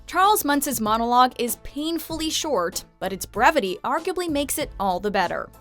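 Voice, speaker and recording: Countdown host: young woman, expressive scripted narration over music. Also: high voice, moderate pace. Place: studio voice-over.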